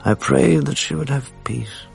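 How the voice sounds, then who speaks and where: An actor playing a guilt-ridden, self-loathing English husband in a radio drama, educated and apologetic, with a gravelly voice. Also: male voice, mid-range voice, a little slow. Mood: quiet grief. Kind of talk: radio drama acting, dialogue and first-person narration. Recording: 1980s radio drama with faint music.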